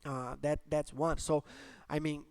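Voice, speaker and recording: A man's voice: fairly high pitch, level tone, measured pace, a little mellow, smooth, no echo. A man from Brazil speaking English with a Brazilian Portuguese accent, explaining tech topics in a hesitant, filler-heavy casual talk. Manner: hesitant, many fillers and restarts. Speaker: tech channel host.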